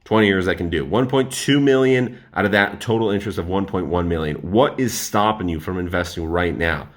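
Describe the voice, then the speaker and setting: A man in his twenties talking straight to his viewers in a casual, energetic explainer about money. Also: deep voice, a little fast. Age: 20s. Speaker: personal finance YouTuber.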